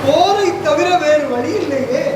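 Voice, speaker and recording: An actor playing a calm, authoritative divine envoy on stage, speaking in a measured way. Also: high voice, distant mic. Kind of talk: stage play acting. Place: auditorium stage. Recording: echoey hall, noisy.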